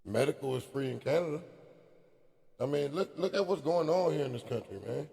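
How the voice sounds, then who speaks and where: A man, chatting on a podcast, laid-back and quick to laugh. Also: a little high, lively intonation, warm tone. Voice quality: raspy. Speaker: podcast co-host. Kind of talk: casual chat. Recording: hall-like reverb.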